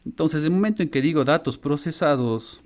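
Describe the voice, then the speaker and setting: A man's voice: fairly high pitch, brisk. A middle-aged man with a Latin American Spanish accent, lecturing informally in an online class and often checking in with short question tags. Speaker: university lecturer. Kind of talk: explanatory online lesson. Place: indoor room, online class.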